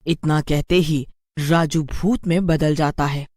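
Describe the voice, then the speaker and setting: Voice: mid-range voice, fairly even intonation, slow pace, darkish tone, dry recording, studio quality. A narrator telling a scripted cartoon story.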